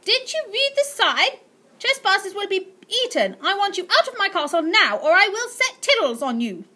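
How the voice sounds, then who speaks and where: A woman's voice: extremely high pitch, big pitch swings, normal speed, pushed and bright, pitched above the performer's own voice, heavily acted. A woman voicing a greedy, cat-obsessed rich old aunt who sneers and bellows threats. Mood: furious.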